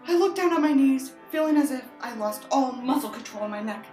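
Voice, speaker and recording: A woman's voice: high voice, animated, fairly quick, dark tone, roomy sound, faint music behind. A woman narrating a book expressively, with sardonic, exasperated asides as a commentator on what she reads. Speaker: audiobook reader and commentator.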